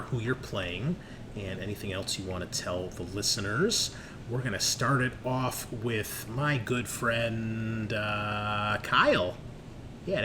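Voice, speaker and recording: A man hosting a tabletop roleplaying show, chatting in a casual, informal and jokey way as he introduces things. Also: lowish voice. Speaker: host and game master of a tabletop roleplaying show. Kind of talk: casual podcast introduction and banter. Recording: clearly noisy background.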